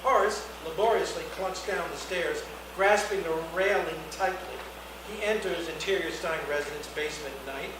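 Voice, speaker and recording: A man's voice: high voice, normal speed. A man reading screenplay action lines aloud as the narrator at a scene reading. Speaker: narrator of stage directions. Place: indoor reading before an audience, far mic. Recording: very echoey room, distant mic, noisy.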